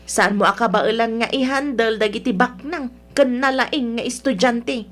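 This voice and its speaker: A sharp-tongued, middle-aged Ilocano mother-in-law from a radio drama, played by a woman. She has a fairly high voice and a nagging, sarcastic, demanding way of berating people. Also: moderate pace, natural voice. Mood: scornful, scolding. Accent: Filipino, Ilocano.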